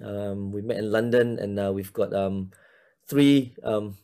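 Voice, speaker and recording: Male guest, 40s, from Malaysia; mellow, hesitant church minister, heavy on fillers, over a video call. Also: on the low side, fairly even intonation, a little slow. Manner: hesitant, many fillers and restarts. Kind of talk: casual interview talk.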